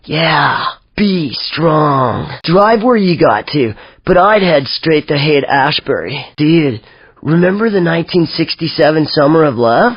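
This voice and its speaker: A man's voice: a little high, animated, unhurried, slightly rough, no room sound, heavily acted. A man voicing a laid-back, spacey, peace-loving hippie for a video game, with a dazed drawl. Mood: spacey, mellow. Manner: drawling, dazed, mellow slacker delivery. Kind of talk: video game character quips. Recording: slightly dull compressed game audio.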